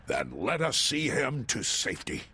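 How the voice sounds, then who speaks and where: A man's voice: mid-range voice, big pitch swings, normal speed, pushed and bright. A man playing a noble, stoic robot commander in a video game, solemn, formal and authoritative. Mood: solemn, resolute. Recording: clearly noisy game-scene background.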